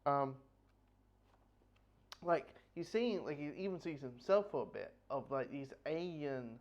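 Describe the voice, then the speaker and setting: Higher-pitched man rambling through a review. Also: animated, a little slow. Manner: rambling, repeats phrases as they go. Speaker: comic book reviewer. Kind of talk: casual spoken review. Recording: dull, lo-fi audio.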